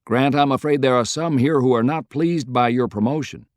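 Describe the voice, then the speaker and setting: A man's voice: medium pitch, moderate pace, dry recording, clean studio sound, natural voice. A man voicing a plain-spoken, weary male American president with a frank, folksy manner, in an audiobook. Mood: wry, warm.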